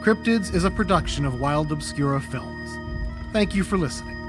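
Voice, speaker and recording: A male podcast announcer reads scripted credits. His voice is fairly high for a man, with music clearly playing underneath. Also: animated, normal speed.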